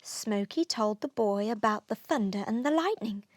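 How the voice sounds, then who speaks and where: A woman narrating a children's storybook aloud, expressively, with deliberate rhythmic delivery of rhyming verse. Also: medium pitch, expressive, dry recording.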